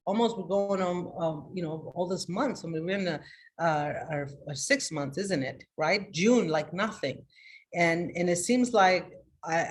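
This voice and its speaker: A woman life coach giving a live motivational talk to her online group. She talks energetically and emphatically, with many restarts, and moves between loud greetings and a soft, confiding tone. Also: on the low side.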